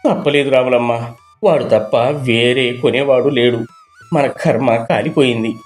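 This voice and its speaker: A man's voice: mid-range voice, fairly even intonation, unhurried, faint music behind, lightly acted. A man voicing a humble, anxious village poultry farmer with a respectful, pleading manner in a moral story. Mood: resigned.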